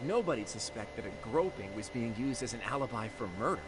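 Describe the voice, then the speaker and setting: A man's voice: medium pitch, expressive, a little fast, noisy background, close to an everyday voice. A man playing an eager, polite young associate in a video game, with a helpful manner. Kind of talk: English game dub dialogue. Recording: loud music behind.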